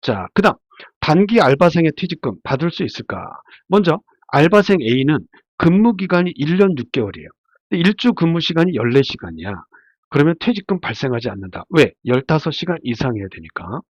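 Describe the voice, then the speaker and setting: A man's voice: a little high, big pitch swings, brisk, no room sound. A man giving an informal, explanatory slide lecture as a labor attorney, his voice stuffy and nasal from a cold, often asking and answering his own questions. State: congested from a head cold. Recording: dull, muffled lo-fi sound.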